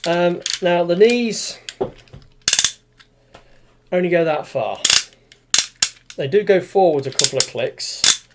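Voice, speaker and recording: Chatty toy reviewer in his forties, a touch high-pitched, meandering and full of fillers, recorded on a webcam at home. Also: expressive, slow, long pauses, very noisy. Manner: rambling, many fillers and restarts. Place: small room with display cabinet, indoors.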